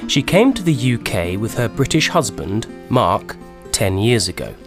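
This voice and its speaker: A man giving scripted narration for a language-learning documentary, with a lively delivery. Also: lowish voice, a little slow. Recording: narration over clear music.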